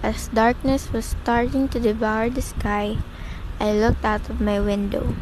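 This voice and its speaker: A woman's voice: fairly high pitch, flat delivery, measured pace, close to an everyday voice. Woman as melancholy young narrator, noisy recording. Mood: wistful. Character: melancholy young female patient narrating her story. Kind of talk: first-person scripted radio play narration.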